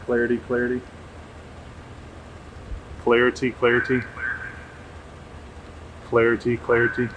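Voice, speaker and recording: A man hosting a casual field test, talking off the cuff outdoors into a camera microphone. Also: medium pitch. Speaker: drone tester and video host. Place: outdoors under an open shelter at an airfield. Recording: noisy outdoor camera audio, steady ambient hum.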